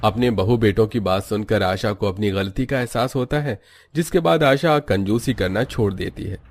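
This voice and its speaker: A man's voice: fairly low pitch, moderate pace. A man narrating a scripted cartoon story as its storyteller.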